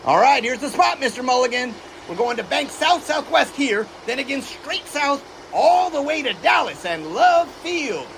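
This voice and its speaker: An actor playing a cheerful, eager American test pilot of the 1920s in an audio drama, with a folksy, teasing manner. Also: male voice, extremely high pitch, sing-song, moderate pace, vocal fry, hardly any pauses, a bit bright, a little hiss or hum, full character voice. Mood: eager, confident. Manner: folksy, jaunty, enthusiastic. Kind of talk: period audio drama acting.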